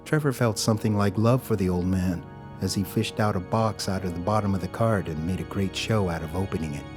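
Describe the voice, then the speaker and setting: Low-voiced male story narrator. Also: a little fast. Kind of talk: scripted dramatic story narration. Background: music.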